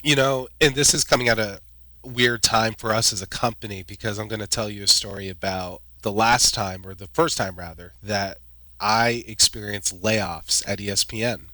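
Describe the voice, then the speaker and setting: A man's voice: on the low side, normal speed, no room sound, rough recording. A young man, a podcast guest, telling personal stories in a vivid play-by-play way. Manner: vivid, excited play-by-play retelling with laughs. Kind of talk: animated personal storytelling.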